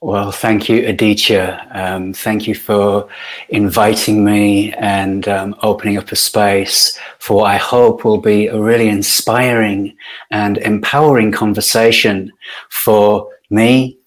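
A middle-aged man from Yorkshire, speaking as a podcast guest and sharing his life story in a thoughtful, unhurried way with many pauses. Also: lowish voice, flat delivery. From Yorkshire, northern England. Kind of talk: reflective personal storytelling.

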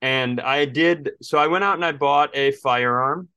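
A man's voice: mid-range voice, a little slow, bright, forceful tone, clear, resonant tone, dry recording, studio quality. An American man from Minnesota telling stories casually as a comedy podcast co-host, ranting in comic exasperation and acting out quotes. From Minnesota, United States.

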